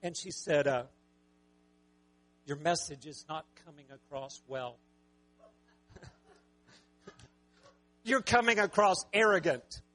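A man preaching a sermon with a raspy voice, talking conversationally and joking with the congregation. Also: on the high side, lively intonation, slow, long pauses, fairly bright tone, soft background music. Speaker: pastor.

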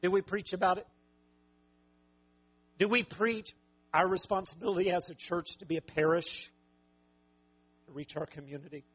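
A man preaching a sermon with a raspy voice, talking conversationally and joking with the congregation. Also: sits high, steady tone, normal speed, pauses often, fairly bright tone. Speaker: pastor.

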